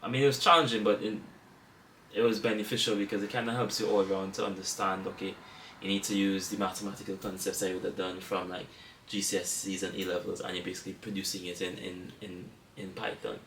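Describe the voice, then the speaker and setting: A young man speaks in a relaxed, lowish voice with a Trinidadian accent, looping back to correct himself as he goes. The sound is roomy and a little distant from the mic. Faint room noise sits underneath. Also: fast talker. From Trinidad and Tobago. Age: young adult. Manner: relaxed, rambling, self-correcting. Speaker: guest student. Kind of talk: casual chat.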